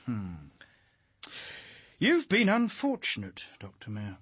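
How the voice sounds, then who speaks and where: An actor playing a shrewd police inspector, probing and dryly insinuating, with a British accent in a radio drama. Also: male voice, a little high, huge pitch swings, takes it slowly, fairly bright tone, husky, no echo, heavily acted. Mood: needling, sardonic. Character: shrewd, needling male police inspector questioning a suspect.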